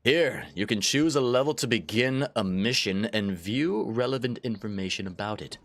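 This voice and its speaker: A man's voice: on the low side, expressive, normal speed. A man reading the lines of a dutiful male war room advisor in a game, in a formal briefing tone. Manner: formal briefing read aloud.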